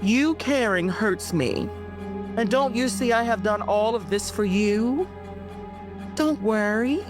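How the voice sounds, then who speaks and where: A woman's voice: on the high side, slow, over music, pitched above the performer's own voice. A woman performing a spoken-word poem in the voice of a falsely soothing colonizer, condescending and full of stumbling self-corrections. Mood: sneering.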